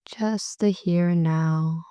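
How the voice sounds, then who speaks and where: A woman guides a meditation in an unhurried, gentle way, letting her phrases stretch out. Her voice is mellow and warm, sitting a little low, and comes through very smooth and clear. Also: fairly even intonation, no echo, studio quality. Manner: slow, soft and soothing, drawn-out phrases.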